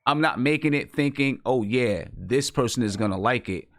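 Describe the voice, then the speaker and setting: A man's voice: medium pitch, normal speed, a bit bright, no room sound. Dry-sounding man chatting casually, emphatically. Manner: emphatic, repeats his phrases. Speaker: show host and beat maker. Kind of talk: casual chat about music.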